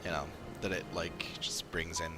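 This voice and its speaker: A man's voice: sits low, level tone, normal speed, over loud music. A man streaming a game, giving casual, rambling commentary as he muses aloud. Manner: musing, rambling. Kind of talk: casual live gameplay commentary.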